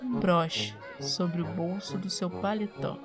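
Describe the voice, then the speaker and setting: A woman narrates an audio drama from a script. Her voice is on the low side. Music plays clearly behind her words. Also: steady tone, takes it slowly.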